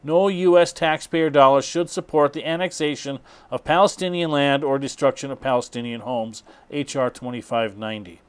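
A middle-aged man introducing and reading aloud an article in a halting, hesitant way. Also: mid-range voice, fairly even intonation, clear, resonant tone. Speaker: presenter.

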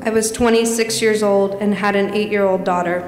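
A woman's voice: medium pitch, fairly even intonation, normal speed. Woman reading in reverberant church. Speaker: volunteer reader. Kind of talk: solemn reading aloud. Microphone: lectern microphone. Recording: echoey church, faint room noise.

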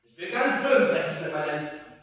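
A man's voice: on the high side, animated, fast, a bit bright. A man playing an ardent, earnest young nobleman in a stage play, declaiming theatrically. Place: small inn theatre stage, far camera. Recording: echoey hall from far off, noisy.

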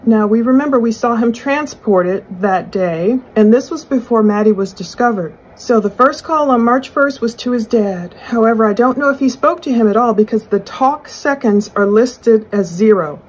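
A middle-aged woman giving conversational true crime commentary in a husky voice, explaining and speculating as she poses questions aloud. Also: on the high side, normal speed, hardly any pauses, a little mellow, poor audio. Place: small furnished room indoors.

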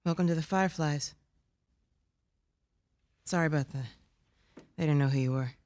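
An actress playing a weary, resolute middle-aged militia leader in a video game, husky and measured in a firm way. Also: female voice, low-pitched, a little mellow, no echo, natural voice. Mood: subdued, weary. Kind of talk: naturalistic video game acting.

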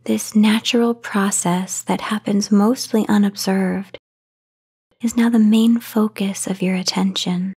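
A woman guiding a sleep meditation in a soft, breathy half-whisper, soothing and unhurried, with long pauses. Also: medium pitch, fairly even intonation. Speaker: meditation guide. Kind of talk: guided sleep meditation narration, soft-spoken. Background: voice only, no music or background noise.

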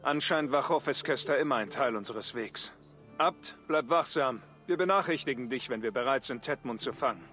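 An actor playing a stern, commanding Norse warrior who speaks solemnly and deliberately in a video game. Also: male voice, mid-range voice, expressive, bright, forceful tone, soft background music. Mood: grave, resolute. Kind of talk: dramatic video game dialogue.

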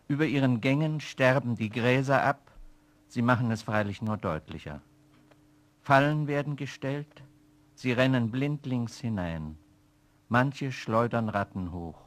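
A man in his sixties reading his own prose in a measured, deliberate way with dry irony, from an old archive recording. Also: medium pitch. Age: 60s. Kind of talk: slow literary reading.

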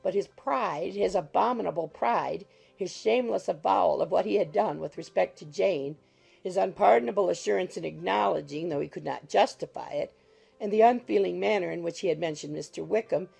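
A woman reads literary narration in a low, mellow voice. It is heavily creaky and husky. Faint music runs behind the reading. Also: brisk. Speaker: audiobook narrator.

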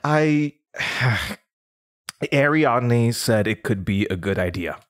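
A man's voice: medium pitch, lively intonation, slow pace. An actor playing a nervous, confused young man under interrogation, stammering and hesitant in a naturalistic audio drama. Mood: uneasy, defensive.

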